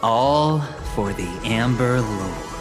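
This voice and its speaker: An actor playing a smug, theatrical young gambler in a video game, speaking in a deliberate, taunting showman's manner. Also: mid-range voice, lively intonation. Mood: grand, persuasive. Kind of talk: dramatic video game voice acting. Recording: reverberant hall effect, music underneath. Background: background music.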